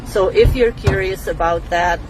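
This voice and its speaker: A woman's voice: mid-range voice, measured pace, a crowd in the background, low-quality recording. A woman from Belgium, speaking casually to camera in a reflective, explanatory way as a spiritual teacher. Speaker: spiritual teacher answering a follower's question.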